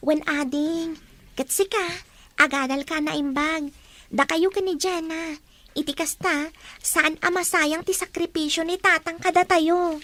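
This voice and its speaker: A woman's voice: very high voice, fairly even intonation, a little slow, pushed and bright, full character voice. A woman acting a grateful, dutiful teenage eldest daughter in an Ilocano radio drama, with a tender, girlish delivery. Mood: earnest. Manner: tender, earnest. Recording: music bed under the dialogue.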